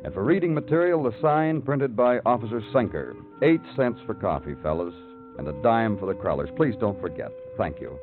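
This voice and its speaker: An actor playing a weary, terse big-city police detective in a 1950s radio crime drama, with clipped, world-weary delivery. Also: male voice, on the low side, animated, normal speed, close to an everyday voice. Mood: brooding. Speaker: narrator and lead actor. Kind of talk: 1950s radio crime drama, poetic hard-boiled narration. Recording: tinny old radio broadcast with music.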